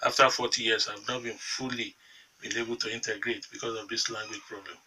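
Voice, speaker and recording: A man's voice: fairly low pitch, little pitch movement, a little fast, sharp tone, breathy edge, far from the mic, rough recording. A Nigerian man hosting a morning TV show, asking probing, emphatic questions. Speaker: TV host.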